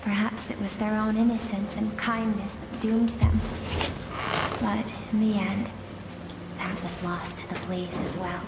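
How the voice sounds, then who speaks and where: An actress playing a grave, sorrowful woman in a video game, recounting a tragic past in measured, somber tones. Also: mid-range voice, little pitch movement, noisy background, lightly acted. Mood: somber, bitter. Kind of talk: solemn dramatic storytelling. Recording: echoey long reverb with game music behind.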